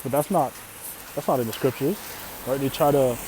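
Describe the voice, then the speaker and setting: A man's voice: mid-range voice, moderate pace, background noise. A man from Canada whose first language is Arabic, teaching scripture informally and often checking in with "right?". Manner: casual, repeats "right?" often, restarts phrases. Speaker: religious teacher.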